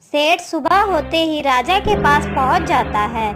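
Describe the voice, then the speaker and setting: A woman's voice: high-pitched, animated, a little slow. A woman storyteller reading a scripted Hindi moral story as narration. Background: background music.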